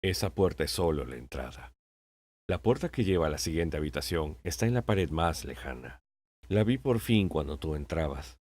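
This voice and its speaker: A man playing a wise, patient old king in an audiobook, speaking in a measured, sententious way. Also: rumbling low, lively intonation, darkish tone. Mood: kindly, resolute. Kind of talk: audiobook character acting.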